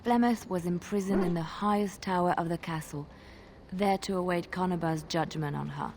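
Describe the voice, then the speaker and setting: A female traveling minstrel played by an actress from a fantasy video game, with a slightly low voice. She is a soft-spoken, wistful storyteller who spins her tales with lyrical, measured suspense. A little noise sits under the recording. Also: natural voice. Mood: dark, suspenseful. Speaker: game companion character.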